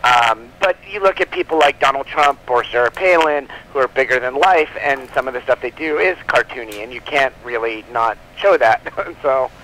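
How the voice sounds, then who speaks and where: A man's voice: medium pitch, normal speed, few pauses, noisy background. A man speaking as an interview guest in a casual, rambling and giggly way. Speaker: comic book publisher, interview guest.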